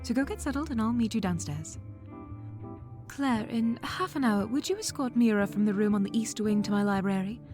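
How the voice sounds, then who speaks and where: A woman voicing a refined, long-lived aristocratic scholar in a fan audio drama, who speaks in a formal, measured, courtly way. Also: medium pitch, over music, close to an everyday voice.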